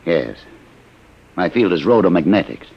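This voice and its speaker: A grave, courteous elderly inventor speaks formally in a vintage radio play. His voice is extremely deep and husky. The recording sounds muffled and dated. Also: sing-song, moderate pace, exaggerated voice. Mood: earnest. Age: older. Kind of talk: 1950s radio drama acting.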